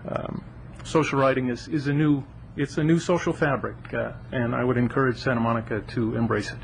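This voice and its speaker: A man's voice: medium pitch, fairly even intonation, creaky, low-quality recording. Man, 50s, public commenter, hesitant and filler-heavy, podium mic, council chamber, faint room hum. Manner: halting, many fillers. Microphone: podium microphone.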